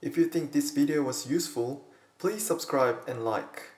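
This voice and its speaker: Man, teacher, steady explanatory delivery, roomy echo. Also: medium pitch, moderate pace. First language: Korean. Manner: steady, explanatory, addresses viewers directly. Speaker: Korean language teacher. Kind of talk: scripted online lesson.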